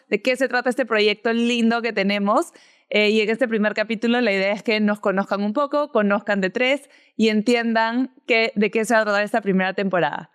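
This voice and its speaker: A woman's voice: on the high side, smooth. A woman, co-hosting a podcast and explaining things in an upbeat way. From Peru. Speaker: podcast co-host. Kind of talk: casual podcast chat.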